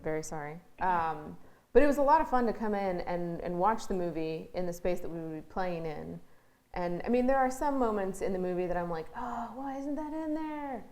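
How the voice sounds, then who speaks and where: Lower-voiced woman chatting about stage work. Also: lively intonation, fairly quick, darkish tone. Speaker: theatre crew member being interviewed. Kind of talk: casual chat.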